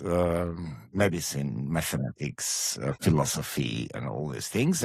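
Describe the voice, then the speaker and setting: A man from Greece with a German-tinged Greek accent, co-hosting a podcast in a halting way with many uhs. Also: sits low, dry recording, studio quality. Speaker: podcast co-host. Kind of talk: casual podcast chat.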